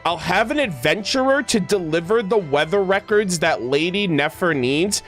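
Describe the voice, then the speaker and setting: A man's voice: high-pitched, animated, moderate pace, soft background music. Man reading as a courteous guild master, lightish voice. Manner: courteous, formal. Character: polite, unruffled guild branch master in fantasy game.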